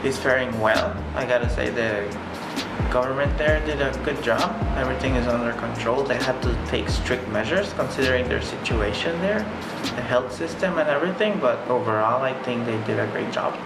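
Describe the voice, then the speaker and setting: A man from Belize, answering interview questions in a relaxed, easygoing way. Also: medium pitch, normal speed. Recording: roomy sound over loud background music.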